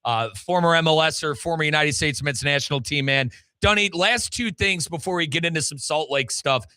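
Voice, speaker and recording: Bright, high-pitched male sports host. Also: fairly quick, no room sound. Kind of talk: animated sports talk interview.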